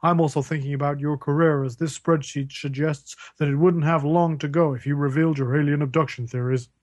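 A man playing a defensive, deadpan newspaper editor in a spoof radio play. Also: on the high side, a little fast, no room sound, lightly acted. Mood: deadpan, apologetic. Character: defensive, gutsy male newspaper editor, deadpan and self-loathing.

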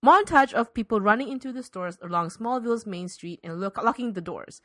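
A woman's voice: fairly high pitch, animated, brisk, fairly bright tone, no room sound, clean studio sound. A woman reading scripted narration for a spoof radio play as its narrator. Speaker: podcast host and narrator.

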